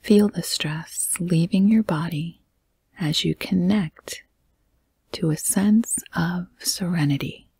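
Breathy, whispery low-voiced woman guiding meditation. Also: no echo. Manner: calm, gentle, measured phrases with long pauses. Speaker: guided meditation leader. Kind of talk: guided meditation, slow soothing narration.

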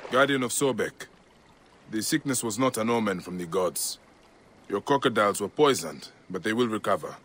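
A low-voiced, grave lawman warrior of ancient Egypt. He speaks plainly and resolutely, sworn to protect and avenge. Faint ambience sits behind the voice. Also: animated, normal speed, a bit bright, slight background noise. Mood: calm, firm. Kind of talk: video game cutscene acting.

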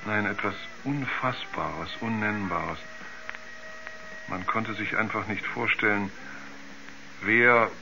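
An actor playing a haunted, frightened astronaut, halting and dazed as he searches for words in a seventies radio play. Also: fairly low pitch, creaky. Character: haunted, frightened male astronaut recounting a moon ordeal. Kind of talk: 1970s German radio drama acting. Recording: dull vintage radio recording, music underneath.

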